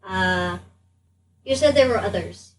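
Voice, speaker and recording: A woman voicing a bewildered but polite adventurer in a tabletop game, speaking in a halting, stammering way. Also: medium pitch, animated, faint music behind, pitched below the performer's own voice. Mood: hesitant. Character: bewildered, polite traveled adventurer in a strange body. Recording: distant mic, background noise.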